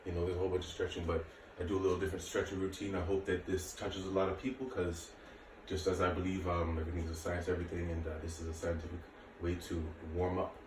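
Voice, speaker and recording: Male fitness instructor, late 30s, very low voice, informal and reassuring, recorded at a distance from the mic. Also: level tone, quick pace, hardly any pauses, a little mellow. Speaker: martial arts and fitness instructor. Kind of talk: casual workout instruction to camera. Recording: echoey room, distant mic, faint noise.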